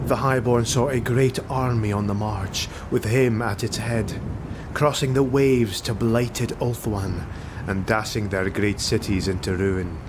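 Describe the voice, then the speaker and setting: A man narrating a fantasy audiobook in a dramatic, scripted storytelling style. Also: fairly low pitch. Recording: noisy home recording.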